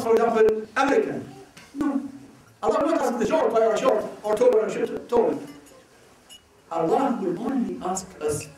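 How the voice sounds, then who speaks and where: A man from Iraq answering audience questions at a religious talk, speaking slowly and deliberately and often asking rhetorical questions. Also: sits high. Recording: echoey hall, mic far off.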